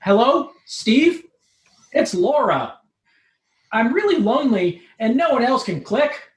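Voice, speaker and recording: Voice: medium pitch, very animated, takes it slowly, pitched above the performer's own voice. A performer voicing a lonely, impatient young woman with a pleading, blunt manner. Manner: pleading, then blunt and fed up. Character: lonely, impatient, exasperated young woman, sitcom love interest. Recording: roomy, echoing live room.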